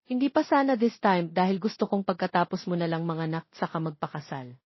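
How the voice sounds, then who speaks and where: A woman's voice: fairly low pitch, brisk, no echo, lo-fi sound, lightly acted. A woman with a Filipino accent voicing an earnest, devoted young male politician who pleads sincerely in an audiobook romance.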